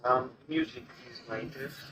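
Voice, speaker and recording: A man with a mid-range voice answers haltingly, full of ums, and sometimes brags. He sounds set back from the mic on an old tape with steady hiss. His talk is loose and unpolished. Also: mid-range voice, vocal fry. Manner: hesitant, full of ums, boastful. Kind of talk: casual interview chat. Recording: old archival tape, distant and noisy.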